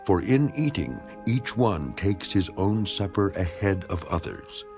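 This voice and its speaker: A man giving a dramatized scripture reading in a solemn, declamatory, measured manner. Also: low voice, sing-song. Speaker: narrator of a dramatized Bible reading. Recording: voice over background music. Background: music.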